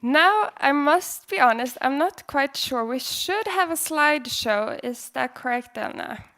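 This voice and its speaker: A woman's voice: high-pitched, expressive, unhurried, fairly bright tone. A young woman hosting on an auditorium stage, interviewing student contestants with friendly questions. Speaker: event host interviewing contestants. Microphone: handheld mic.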